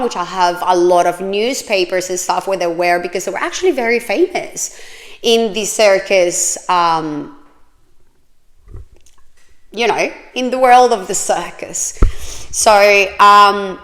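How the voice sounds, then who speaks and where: A woman's voice: mid-range voice, fairly bright tone. A woman, chatting casually and telling her own life story with plenty of fillers. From Australia. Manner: chatty, many fillers, laughs often.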